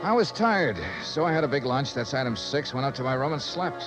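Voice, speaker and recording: An actor playing a cocksure American insurance investigator in 1950s radio style, wisecracking and narrating in brisk, clipped phrases. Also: male voice, medium pitch, expressive, natural voice, low-quality recording. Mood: dry, wry narrating. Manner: brisk, wisecracking, clipped expense-report delivery. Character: wry, cocksure male freelance insurance investigator. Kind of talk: 1950s radio detective acting and first-person narration. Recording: vintage radio broadcast with music.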